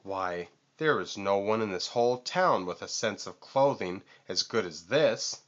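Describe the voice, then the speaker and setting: A man voicing a vain, boastful storybook vulture with a squawky, screechy voice and theatrical, drawn-out emphasis. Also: fairly low pitch, lively intonation, a bit bright, lo-fi sound. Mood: boastful, smug.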